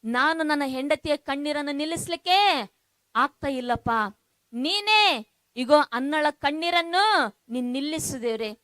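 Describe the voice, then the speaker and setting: A woman preacher voicing a distressed husband who pleads softly in a sermon story. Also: high voice, normal speed, long pauses, no room sound, studio quality. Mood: pleading. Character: distressed husband pleading, middle-aged man.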